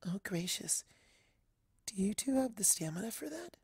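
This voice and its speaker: A woman voicing a shy, gentle young female pegasus pony in a soft, breathy, hesitant voice. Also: mid-range voice, sing-song, moderate pace, no room sound, studio quality, exaggerated voice. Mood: timid. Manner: hesitant, meek.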